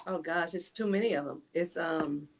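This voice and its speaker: A woman's voice: on the low side, normal speed, slight background noise. A woman from Illinois, a guest on a talk show, speaking earnestly in casual chat and often restarting her sentences.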